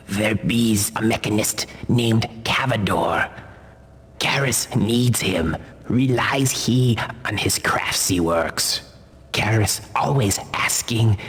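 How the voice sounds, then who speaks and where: A man voicing a dying, pleading forest creature in a video game, with weak breathy voice and halting broken grammar. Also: on the low side. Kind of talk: video game character acting. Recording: long cavernous reverb.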